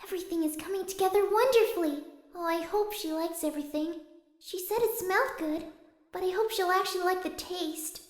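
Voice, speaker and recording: A shy young female cartoon pony with an extremely high, childlike pitch. She is soft, sweet and caring, fretting gently as she speaks. The room adds an audible echo. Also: brisk. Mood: fretful, hopeful. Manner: soft, sweet, hesitant self-questioning. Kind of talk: cartoon character in audiobook reading.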